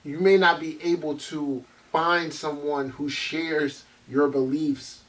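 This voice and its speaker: Male co-host, medium pitch, interjecting, distant mic. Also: steady tone, takes it slowly, a bit bright. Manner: interjects with short agreements. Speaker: podcast co-host. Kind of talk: casual group discussion.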